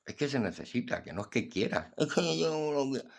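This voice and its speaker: A man's voice: mid-range voice, very animated, brisk, creaky. An older man, talking bluntly and earnestly about acceptance in a casual philosophical monologue. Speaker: podcast host.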